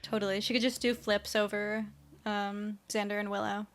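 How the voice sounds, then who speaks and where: A woman's voice: mid-range voice, flat delivery, moderate pace, fairly bright tone. A woman co-hosting a TV recap podcast in a casual, chatty style. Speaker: podcast co-host.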